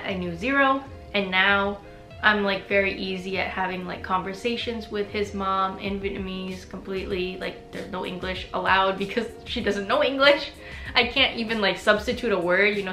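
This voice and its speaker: Bright-voiced chatty woman vlogger. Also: medium pitch. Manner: chatty, frequent "like" fillers, laughs often. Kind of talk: casual personal vlog. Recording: roomy echo with faint music.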